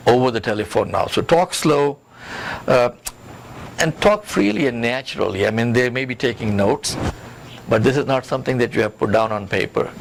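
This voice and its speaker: A man giving career advice in an interview as a coach, with an instructive, emphatic manner that repeats key points. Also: medium pitch. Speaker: career coach and job search expert. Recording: clearly noisy background.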